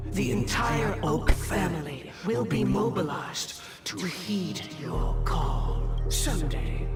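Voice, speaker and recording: An actor playing a stately, pious elderly family patriarch, speaking with solemn grandiloquence in a video game. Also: male voice, a little high. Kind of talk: dramatic video game voice acting. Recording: loud music behind the voice. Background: loud game music.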